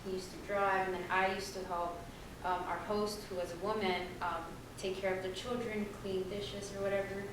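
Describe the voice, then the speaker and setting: A young woman on a discussion panel talks loosely and casually, leaning on "like" and "um" as she goes. Her voice sits at an ordinary middle pitch. It is picked up from well back in a big, echoing room with a steady hum of noise behind it. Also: normal speed, few pauses. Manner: loose and chatty, full of "like" and "um". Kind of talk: personal storytelling on a panel. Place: large echoey room. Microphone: distant room mic. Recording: echoey hall recorded from far off.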